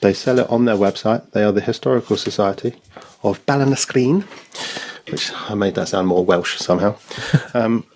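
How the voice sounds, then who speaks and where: A man as a podcast guest, telling stories in a relaxed, hesitant way with many ums. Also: fairly low pitch, moderate pace, slight background noise. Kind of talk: casual chat.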